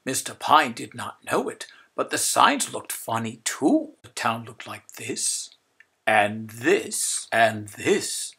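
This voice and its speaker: A man reading a children's story aloud in an expressive, emphatic way. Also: medium pitch, takes it slowly, vocal fry, breathy edge. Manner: expressive, emphatic, repeating phrases for effect. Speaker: storybook reader. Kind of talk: animated children's read-aloud narration.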